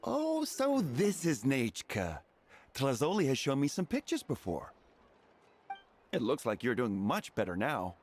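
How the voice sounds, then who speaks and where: Casting: obliging, genial game merchant, hugely expressive pitch, light music underneath. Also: male voice, measured pace. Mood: welcoming. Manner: friendly, obliging. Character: genial, generous male shopkeeper, courteous and easygoing. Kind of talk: video game voice acting.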